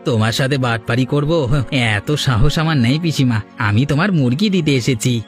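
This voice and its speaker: A man's voice: medium pitch, brisk, soft background music, lightly acted. A man voicing a sly, hapless village thief in a folk-tale cartoon, wheedling and grumbling. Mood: smooth, deceitful. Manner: wheedling, grumbling, repeats words.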